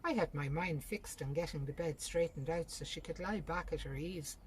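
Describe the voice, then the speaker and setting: A woman's voice: deep voice, normal speed, a little hiss or hum, close to an everyday voice. A woman voicing a practical, bossy, consoling sister in a short story. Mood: brisk consoling.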